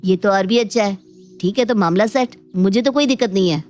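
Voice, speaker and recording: A woman's voice: medium pitch, fairly quick, darkish tone, close to an everyday voice. A woman voicing a cheerful, clueless young husband and younger brother in a cartoon. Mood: breezy. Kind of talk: Hindi cartoon family drama acting. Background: faint background music.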